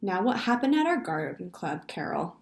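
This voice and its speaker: A woman's voice: medium pitch, very animated, moderate pace, vocal fry. A woman voicing a stern, exasperated father in a children's story, with a commanding manner.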